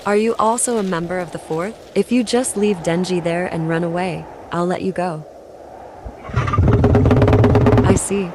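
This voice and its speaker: A sweet-talking young devil woman, acted out by a woman for a manga dub. Her voice sits somewhat low, soft and coaxing with calm menace. Clear street noise runs through the recording. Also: moderate pace, close to an everyday voice. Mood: cold menace. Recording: noisy track with street ambience.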